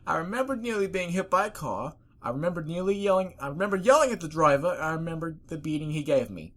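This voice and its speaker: Someone doing an annoying, high, melodramatic traveller. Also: animated, fast talker. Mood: weary complaint. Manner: loud, exaggerated, melodramatic. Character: overwrought, put-upon annoying story narrator, wounded traveller.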